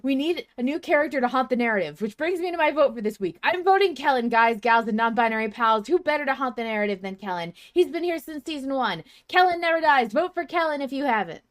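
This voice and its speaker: Woman putting on an excitable, high-pitched fan voice. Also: fast talker, few pauses. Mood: gushing, emphatic. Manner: gushing, emphatic, theatrical. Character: excitable, dramatic fan letter writer. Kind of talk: dramatic reading of a fan email.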